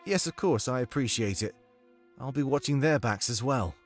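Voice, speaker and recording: A man's voice: medium pitch, animated, moderate pace, soft background music, pitched above the performer's own voice, lightly acted. A man voicing a young, earnest and confident rebel leader in a fantasy visual novel read aloud. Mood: composed, confident.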